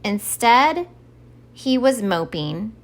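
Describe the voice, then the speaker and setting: Animated woman reading a children's story. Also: fairly high pitch, extremely slow. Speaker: story time reader. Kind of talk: expressive scripted children's story narration.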